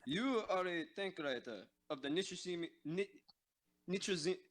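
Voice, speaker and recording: A man playing a stern, demanding tank commander, giving halting, clipped orders in an amateur audio drama. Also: high-pitched, expressive, fairly bright tone, voice pushed up. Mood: stern, scolding.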